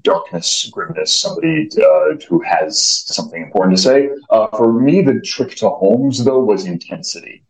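A young man with a deep voice, an actor explaining his craft in a measured, emphatic way, recorded in an echoey room. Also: expressive. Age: young adult. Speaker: voice actor being interviewed. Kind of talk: explaining in an interview.

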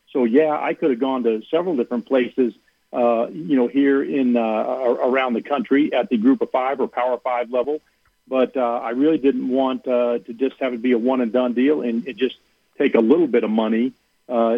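A man's voice: medium pitch, dry recording. Man talking on a tinny phone line. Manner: earnest, rambling with many fillers and restarts. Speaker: athletic director guest. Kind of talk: radio interview on a phone line.

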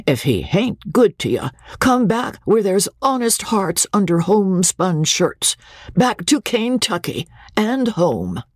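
A woman voicing a rough, gallant showboat leading man in an audiobook, earnest with a folksy drawl. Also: medium pitch, sing-song, takes it slowly, dry recording, studio quality, pitched above the performer's own voice, full character voice.

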